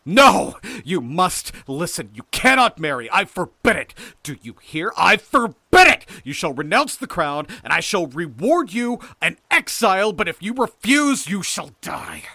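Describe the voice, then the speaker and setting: A man acts out a madman who believes he will be king, in a dramatic audiobook. He is grandiose and threatening, barking commands with a hard, forceful edge. The pitch leaps about wildly. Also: very animated, a little slow, hardly any pauses, no room sound, clean studio sound, exaggerated voice. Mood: furious. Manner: ranting, commanding, imperious. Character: deranged would-be king, male, grandiose and menacing. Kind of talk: dramatic audiobook character acting.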